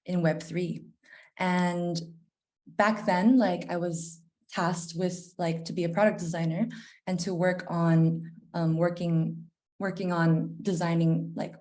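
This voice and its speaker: A woman's voice: lowish voice, dark tone. A woman in her twenties from Malaysia giving an informal, reflective presentation over a video call. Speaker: guest presenter, researcher. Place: living room at home, indoors. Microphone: video call, laptop.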